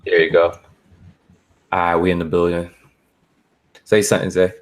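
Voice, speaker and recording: A man's voice: deep voice, little pitch movement, moderate pace. A man chatting casually and slangily as a podcast host setting up a livestream. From born in Barbados, moved to the United States. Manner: slangy, informal.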